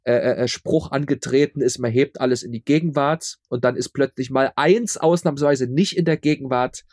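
A man's voice: mid-range voice, normal speed, darkish tone, dry recording. Casting: male interview guest, mid-range voice, casual and anecdotal, repeats words as he searches, ironic asides, close dry recording. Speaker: interview guest, audio drama producer.

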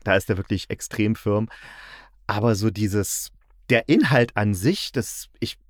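A man's voice: fairly low pitch, measured pace, no room sound, studio quality. A man hosting a podcast in casual conversation, repeating words as he works out his thoughts. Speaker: podcast host, radio-play critic.

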